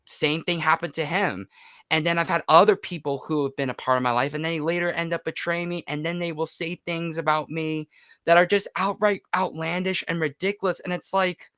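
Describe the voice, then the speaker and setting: A man's voice: a little high, fairly even intonation, a little fast, sharp tone, clear, resonant tone, no room sound, studio quality. A man in his twenties talking candidly as an interview guest, emotional and emphatic, repeating phrases for stress. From United States. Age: 20s. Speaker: podcast guest, YouTuber and voice actor. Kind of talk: candid personal interview chat.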